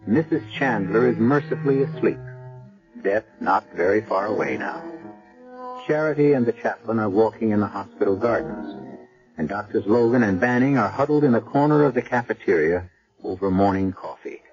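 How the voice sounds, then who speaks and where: A male American host narrates a vintage radio drama in a low register. His delivery is measured and portentous, with wide melodic swings. The recording is dull, like an old broadcast. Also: lively intonation, over music.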